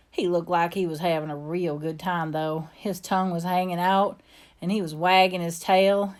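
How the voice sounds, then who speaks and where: A woman's voice: on the low side, close to an everyday voice. A woman voicing an earnest, plainspoken young girl with a Southern US accent, telling her story from a storybook. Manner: folksy, plainspoken. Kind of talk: first-person storybook narration.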